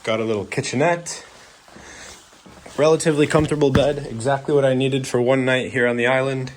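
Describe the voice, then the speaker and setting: A man's voice: mid-range voice, steady tone, a little fast, a little hiss or hum, poor audio. A man vlogging in a chatty, easygoing way while walking through old town streets outdoors, talking to the camera with plenty of fillers. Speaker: travel vlogger.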